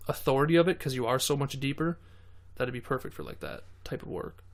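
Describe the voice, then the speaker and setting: A man talking casually as a podcast guest, animated and profane, often stumbling and repeating himself. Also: medium pitch, fast talker. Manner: animated, swears a lot, trips over his words. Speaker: guest, beatboxer and voice actor.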